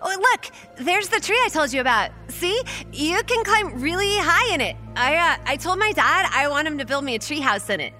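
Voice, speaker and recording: A woman's voice: high-pitched, lively intonation, moderate pace, few pauses, pushed and bright, soft background music. A woman playing an eager, chatty young girl in a horror audio drama, speaking in a slightly hesitant, childlike way.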